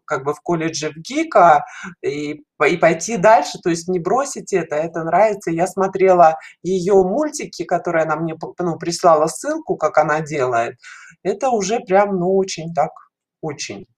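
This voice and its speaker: A middle-aged woman explaining things conversationally as an interview guest, halting and often rephrasing, on a headset in a video call. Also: fairly low pitch, a little mellow. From Russia. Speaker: guest, children's animation studio educator. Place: indoor room, video call.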